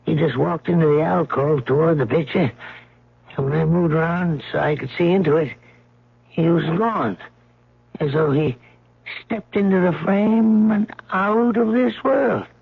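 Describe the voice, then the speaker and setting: Raspy, folksy old museum guard. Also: male voice, on the high side, a little slow, heavily acted. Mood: spooked urgency. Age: older. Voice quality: husky, creaky. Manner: folksy, ungrammatical, hushed urging. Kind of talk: 1970s radio drama acting. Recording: thin narrow-band vintage radio recording.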